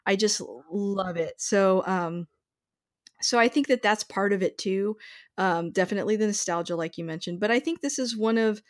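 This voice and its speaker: A woman's voice: medium pitch, moderate pace. A woman hosting a podcast, chatting casually and enthusiastically about films. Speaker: podcast host.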